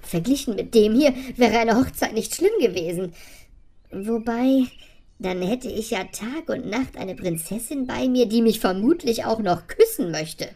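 A man's voice: extremely high pitch, expressive, measured pace, gravelly, dark tone, heavily acted. A man playing a small, anxious frog in a fairy-tale audio play, talking fretfully as if to console himself. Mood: fretful relief.

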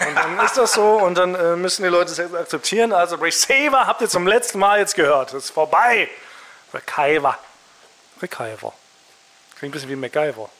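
A man with a slightly high voice chats casually as a podcast co-host. He jokes in a playful, mock-serious manner and keeps the banter loose and comedic. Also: animated, a little fast, bright, forceful tone, slight background noise.

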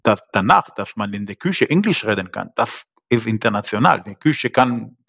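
A man's voice: lowish voice, expressive, normal speed, gravelly, a bit bright, slightly rough. A man whose first language is not German, a podcast guest speaking hesitantly with frequent fillers and grammar slips. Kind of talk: podcast interview.

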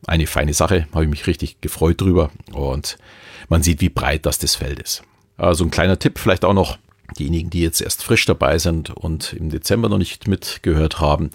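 A man's voice: a bass voice, vocal fry, slightly rough, dry recording, studio quality. A man with a Bavarian accent, hosting a podcast on hypnosis, who talks in a loose, chatty way with many fillers. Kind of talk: casual podcast talk.

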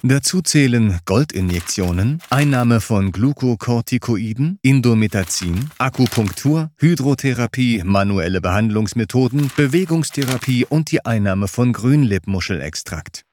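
A man narrating a scripted documentary in a measured, factual way. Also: mid-range voice, lively intonation, a little mellow, slight background noise.

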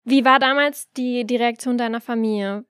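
A woman's voice: a little high, steady tone, normal speed, a bit bright, clean tone, no room sound, studio quality. A young woman interviewing a guest with casual, curious and playful questions. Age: young adult.

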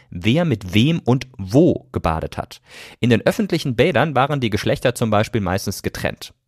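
A man's voice: fairly low pitch, no echo, studio quality. A man hosting a podcast, reading scripted narration in a conversational way that addresses listeners directly. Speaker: podcast host.

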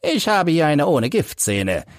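A man voicing an embittered old crippled soldier who tells his tale in a halting, dramatic way for an audiobook. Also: fairly high pitch, sing-song, no echo, studio quality, pitched above the performer's own voice. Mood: proud, plain. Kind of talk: audiobook character reading.

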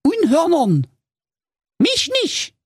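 A man voicing cheeky, squabbling little goat kids, squeaky and agitated, in a comic fairy tale. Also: huge pitch swings, very slow, no room sound, studio quality, heavily acted. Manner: squeaky, agitated, foul-mouthed. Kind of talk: comedic fairy-tale storytelling.

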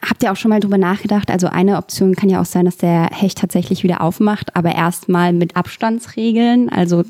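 Warm-voiced woman podcast host. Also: lowish voice, steady tone, brisk, no room sound, clean studio sound. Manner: friendly, long rambling questions. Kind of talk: interview podcast.

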